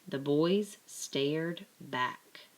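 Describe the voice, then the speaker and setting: A woman narrating a children's picture book aloud in a deliberate, expressive way. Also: low-pitched, poor audio. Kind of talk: scripted children's story narration.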